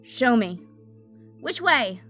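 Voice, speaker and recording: A woman playing an impatient, hot-headed young fire mage in an audio drama, blunt and forceful. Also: on the high side, sing-song, extremely slow, exaggerated voice. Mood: determined, defiant. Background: music.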